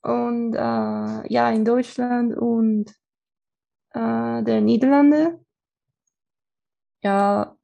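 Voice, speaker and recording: Mexican woman, native spanish, mellow slightly high voice w lots of fry, hesitant casual chat. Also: fairly even intonation, drawn-out words, pauses often, muffled recording. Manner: hesitant, searching for words in German. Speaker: interviewee.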